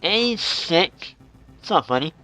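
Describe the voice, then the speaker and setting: A man's voice: sits high, sing-song, slow, sharp tone, soft background music, poor audio. A man in his forties chatting casually as he streams a game, rambling and musing aloud. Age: 40s. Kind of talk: casual live-stream chat while gaming.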